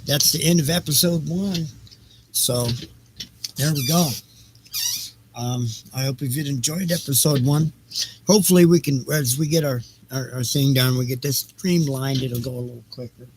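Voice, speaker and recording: A man's voice: mid-range voice, steady tone, moderate pace, background noise. A man chatting casually and loosely as the host of an audio show. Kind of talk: casual, rambling sign-off chat.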